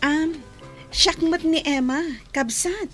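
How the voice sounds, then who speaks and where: A bashful young woman, played by an actress, speaks in a high voice at a slow, hesitant pace. She is a sweet, friendly newcomer in an Ilocano-accented radio drama, with soft music underneath. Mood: shy, friendly.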